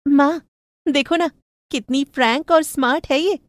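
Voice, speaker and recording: Young modern sister, spirited, persuasive, pitched well up, dry close sound, female narrator doing the voice. Cartoon moral story. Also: measured pace, no echo. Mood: persuading. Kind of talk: animated moral story dialogue.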